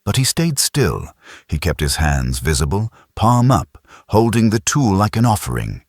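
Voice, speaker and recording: A man's voice: lowish voice, very animated, unhurried, dry recording, clean studio sound. A man reading dramatic scripted science fiction as an audiobook narrator.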